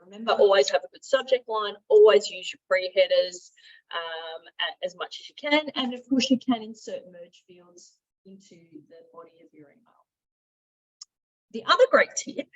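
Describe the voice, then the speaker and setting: Woman, husky voice, medium pitch, conversational software trainer, thinks aloud, dull lo-fi recording. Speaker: software trainer, marketing and sales director. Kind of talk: informal software tutorial with screen demonstration. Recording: dull, muffled lo-fi audio.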